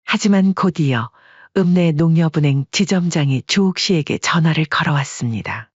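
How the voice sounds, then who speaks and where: Story narrator, male, husky voice, mid pitch, dry close sound. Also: moderate pace, no room sound. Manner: steady storytelling. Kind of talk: scripted audiobook narration. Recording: dry, dull lo-fi recording.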